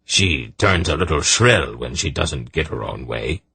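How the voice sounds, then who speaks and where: A man's voice: rumbling low, lively intonation, measured pace, gravelly, pushed and bright, a little hiss or hum, exaggerated voice. Man doing a coarse, blunt trader. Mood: gruff. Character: coarse, crafty male trader, fond of ale.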